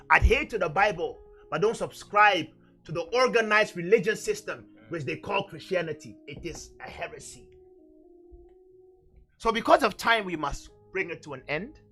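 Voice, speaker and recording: Guy preaching w a high sharp voice, really emphatic, repeats himself to drive it home, bit of music behind. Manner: emphatic, exhorting, repeats phrases for effect. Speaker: preacher and teacher on a religious show. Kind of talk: fervent Bible teaching.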